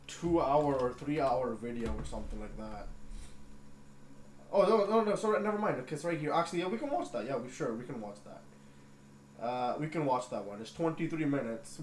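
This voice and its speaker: A man hosting a casual livestream, rambling and easily excited. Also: mid-range voice. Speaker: livestream host. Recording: echoey room with faint noise.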